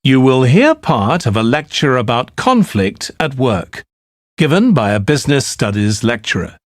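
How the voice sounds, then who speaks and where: A man reading formal, deliberate scripted instructions as an exam announcer. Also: medium pitch, sing-song, no room sound, studio quality.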